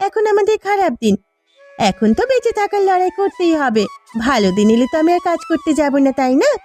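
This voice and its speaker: A woman's voice: extremely high pitch, lively intonation, a little fast, darkish tone, soft background music. A woman voicing a poor farmer's caring wife in a cartoon, earnest, solicitous and pleading in manner. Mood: reassuring. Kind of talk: Bengali cartoon voice acting.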